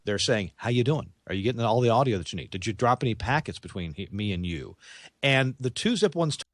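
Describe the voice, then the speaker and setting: A man's voice: medium pitch, animated, a little fast, dry recording, clean studio sound. A man from the United States giving an informal, conversational product explanation as a company representative. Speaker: audio equipment company representative.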